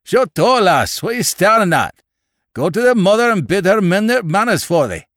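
Gruff angry yorkshire farmer, middle aged, growly and raised up high, storybook villain type. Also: male voice, sing-song, moderate pace, a bit bright, no room sound, studio quality. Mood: surly. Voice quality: growling, harsh. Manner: gruff, curt, threatening. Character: surly, ferocious middle-aged Yorkshire farmer, big and thick-set.

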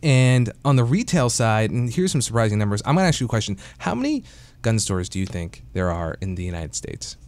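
A man's voice: on the low side, very animated, brisk, darkish tone, no echo. A man hosting an investing podcast in a casual, conversational way, with frequent fillers. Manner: conversational, many fillers like "you know" and "uh". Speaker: podcast host.

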